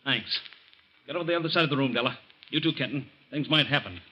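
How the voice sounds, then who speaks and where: An actor playing a tough, cunning young American convict in a radio crime drama, talking in hard-boiled slang. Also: male voice, medium pitch, expressive, fast, highs cut off, slight background noise, lightly acted. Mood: menacing. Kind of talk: old-time radio crime drama acting.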